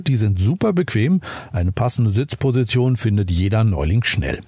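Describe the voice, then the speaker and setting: A man's voice: medium pitch, a little mellow, slightly rough, no echo. A man hosting a car podcast, narrating a test drive in an upbeat, chatty way and talking straight to the listener. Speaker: podcast host, car reviewer. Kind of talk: scripted car review narration.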